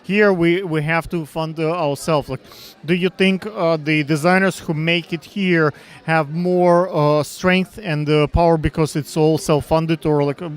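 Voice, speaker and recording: A man with a Russian accent interviewing backstage at a fashion show, asking questions haltingly with many fillers and restarts. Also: on the high side, fairly even intonation, smooth.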